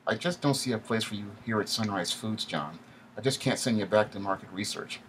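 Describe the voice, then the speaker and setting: A man's voice: lowish voice, fairly quick, fairly bright tone, slight background noise, pitched above the performer's own voice, close to an everyday voice. A man voicing a smug, elitist male company executive who is cold and falsely polite. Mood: cold dismissive.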